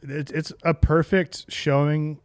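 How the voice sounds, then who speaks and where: A man's voice: fairly high pitch, level tone, takes it slowly, clean tone, no echo. Emphatic male podcaster, dry sound. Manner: emphatic, slangy fan talk. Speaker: podcast co-host. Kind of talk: casual group podcast chat about movies and geek news.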